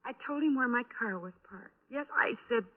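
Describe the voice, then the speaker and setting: A woman's voice: fairly high pitch, animated, moderate pace, close to an everyday voice. A woman acting in a 1950s radio crime drama, playing an upset, defensive young working woman who insists and protests. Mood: hesitant. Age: young adult. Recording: tinny, band-limited vintage radio recording.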